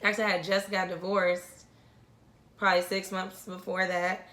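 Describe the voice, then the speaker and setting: A woman co-hosting a podcast, telling her side of the story in casual chat, laughing often and dropping softer when she reflects. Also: fairly low pitch, level tone, moderate pace. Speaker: podcast co-host. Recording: roomy echo.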